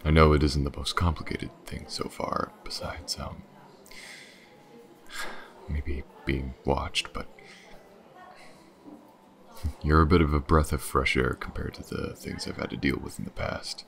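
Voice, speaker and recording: A gruff detective in an audio roleplay, acted by a man, with an extremely low voice full of fry. He is wary and dryly sardonic, muttering his way through halting thoughts. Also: level tone, heavily acted. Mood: low, guarded. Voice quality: gravelly.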